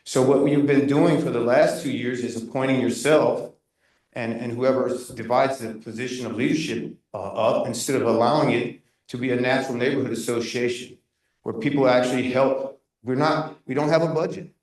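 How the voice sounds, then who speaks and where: A man giving a loud, insistent public comment at a neighborhood meeting, speaking without a microphone. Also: medium pitch, fairly even intonation, moderate pace. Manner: loud, insistent, critical, with frequent restarts. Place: echoey community meeting room. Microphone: room mic at a distance, not speaking into a handheld. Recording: very echoey room, voice far from the mic.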